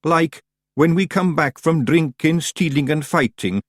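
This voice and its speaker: A man's voice: a little high, unhurried, no echo, clean studio sound, pitched above the performer's own voice. A man voicing a timid, dim-witted male fairy with a Scottish accent, in an audiobook. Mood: fretful.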